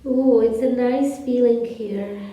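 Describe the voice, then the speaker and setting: Polish-accented woman leading yoga, slow and soothing, mellow voice, big echo. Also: on the high side, steady tone. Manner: slow, soothing cues with long pauses. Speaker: yoga instructor. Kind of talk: guided yoga class instruction. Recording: echoey room from far off.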